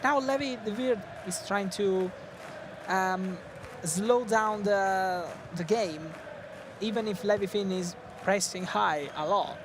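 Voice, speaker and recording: Excitable Italian-accented male co-commentator, high-pitched voice, noise audible behind him. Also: takes it slowly. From Italy. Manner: excitable, laughs often, non-native phrasing. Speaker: esports co-commentator. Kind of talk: live esports commentary.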